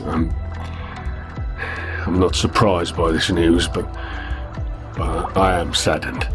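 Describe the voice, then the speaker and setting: Grave, deep-voiced devotee. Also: male voice, level tone. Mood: solemn. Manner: grave, measured, sorrowful. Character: solemn male devotee in a sci-fi game. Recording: game audio with music behind. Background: music.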